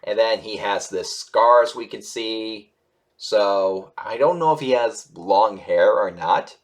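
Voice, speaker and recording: Man, slightly low pitch, casual commentary host, halting delivery, rambling, self-correcting, unsure of himself. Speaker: YouTube host reviewing animation news. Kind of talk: casual news commentary, reading articles aloud and reacting.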